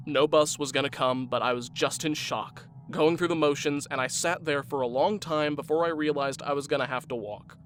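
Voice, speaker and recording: A man's voice: a little high, fairly even intonation, moderate pace, a bit bright, faint music behind, close to an everyday voice. An actor playing a shaken young man who trusts no one, earnest and tense.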